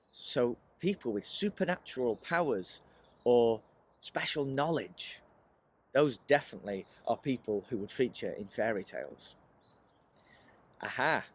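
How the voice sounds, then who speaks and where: A man leading a children's writing workshop in an animated, encouraging presentational style, often putting questions to his listeners. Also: mid-range voice. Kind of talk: presentational teaching aimed at children, with rhetorical questions.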